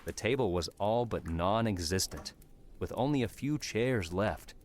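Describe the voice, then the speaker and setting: A man's voice: lowish voice, lively intonation, a little slow, a little mellow. A man narrating a scripted fantasy story as an audiobook narrator. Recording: clearly noisy background.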